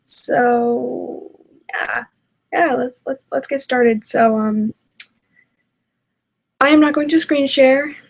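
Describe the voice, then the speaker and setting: A woman's voice: sits high, steady tone, slow, gravelly, pauses often. A woman hosting a reaction video with casual, sarcastic, foul-mouthed commentary.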